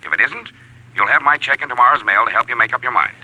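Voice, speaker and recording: A man playing a driven, commanding gentleman with formal, forceful diction in 1940s radio drama. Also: medium pitch, fast talker, no echo, muffled recording. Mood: firm, persuasive.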